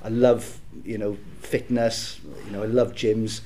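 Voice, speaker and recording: A man in his thirties from South Wales with a Welsh accent, a podcast guest reflecting on his career in a warm, easygoing way with easy laughs. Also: fairly low pitch, little pitch movement, measured pace, a little hiss or hum. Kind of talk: casual interview chat.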